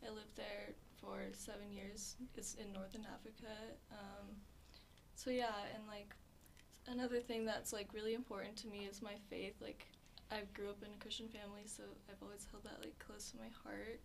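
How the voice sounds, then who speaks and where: A teenage girl answering interview questions softly, leaning heavily on "like" and other fillers. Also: medium pitch, level tone, moderate pace, vocal fry, a little hiss or hum. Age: teens. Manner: soft-spoken, filler-heavy with frequent "like". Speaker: interview guest. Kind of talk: casual interview.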